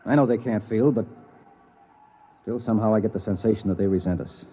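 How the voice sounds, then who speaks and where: Tinny, low-voiced gruff space explorer. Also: male voice, a little fast. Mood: grumbling, cocky. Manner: brusque, dismissive, hard-boiled. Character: gruff, arrogant, edgy male space explorer. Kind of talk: 1950s radio drama acting with first-person narration. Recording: narrow, tinny, noisy 1950s radio recording.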